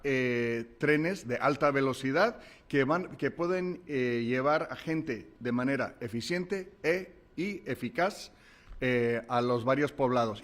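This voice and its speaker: A male lawmaker at a podium microphone, with a mid-pitched voice. He speaks with emphatic, rhetorical flair, moving easily between two languages as he addresses the press. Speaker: state legislator, committee chairman. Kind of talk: press conference remarks. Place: press conference.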